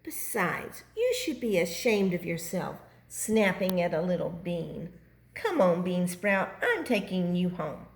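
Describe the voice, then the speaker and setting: A woman's voice: on the low side, big pitch swings, a little slow. A woman voicing a kind, protective storybook bean with a firm, reproving manner. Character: kind, protective bean standing up for little ones.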